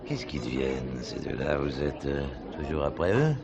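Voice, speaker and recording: Deep voiced businessman in a french play, hesitant, surprised, people noise behind. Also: lively intonation, moderate pace, vocal fry, dull audio, crowd noise, heavily acted. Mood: puzzled, defensive. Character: surprised, hesitant middle-class businessman, mildly sceptical. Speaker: actor in a stage play. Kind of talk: French theatre dialogue acting.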